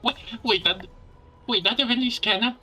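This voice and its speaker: A man reacting live as a game streamer, casual and excitable, halting and repeating his words. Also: very high-pitched, soft background music.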